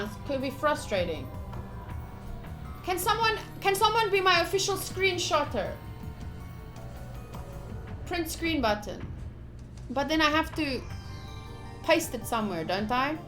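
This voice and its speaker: A woman livestreaming a visual novel playthrough, reading the text aloud with excitable, playfully dramatic and casual commentary. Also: very high-pitched. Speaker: streamer playing a visual novel.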